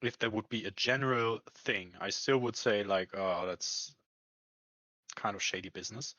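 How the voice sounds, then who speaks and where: A man in casual conversation, speaking hesitantly and haltingly as he searches for words. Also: fairly low pitch, bright, forceful tone. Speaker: employee talking about a work contract. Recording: muffled lo-fi, echoey room.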